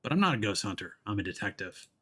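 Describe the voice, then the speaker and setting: A man voicing a gruff, world-weary detective who talks in a terse, dry, guarded way for a video game story. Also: fairly low pitch, big pitch swings, quick pace, a bit bright.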